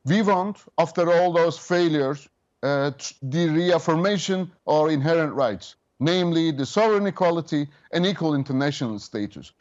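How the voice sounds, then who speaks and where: A man in his fifties, a government representative speaking over a remote link, measured and official with frequent hesitations. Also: a little high, animated, darkish tone, dull audio. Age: 50s. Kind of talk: remote interview guest.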